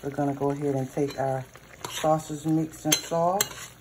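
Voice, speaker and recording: A hoarse woman chatting through a cooking vlog, addressing viewers as y'all and savoring bites with lots of hums. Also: low-pitched, steady tone, a little slow, noisy background. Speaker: cooking vlogger. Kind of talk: casual cooking vlog with tasting. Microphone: phone or camera mic, recording alone in a kitchen.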